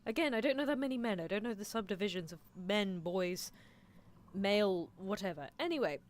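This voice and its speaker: A woman's voice: mid-range voice, expressive, brisk. A woman streaming an improvised ASMR roleplay as a scheming, possessive anime mad scientist, muttering in theatrical exasperation. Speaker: streamer performing character roleplay.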